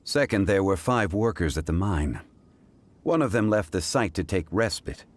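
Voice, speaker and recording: A man playing a composed, scholarly gentleman in a video game, speaking formally, deliberately and precisely. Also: sits low, expressive, a little hiss or hum. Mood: methodical. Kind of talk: English video game voice acting.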